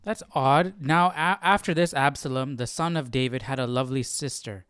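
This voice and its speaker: A man's voice: medium pitch, moderate pace, a bit bright. A man hosting a live Bible study, casually musing and thinking aloud in a puzzled way.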